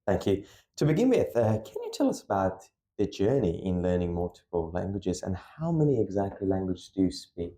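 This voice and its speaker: A man's voice: deep voice, very animated, moderate pace, hardly any pauses, warm tone. A man hosting a school podcast, reading a formal introduction and then asking interview questions. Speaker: podcast host. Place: school room. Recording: echoey room.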